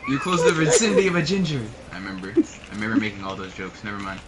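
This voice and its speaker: Young man, game voice chat, lively joking delivery, busy noisy background. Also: lowish voice, very fast, crowd noise. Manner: joking, laughs often. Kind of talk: casual gaming chat with friends.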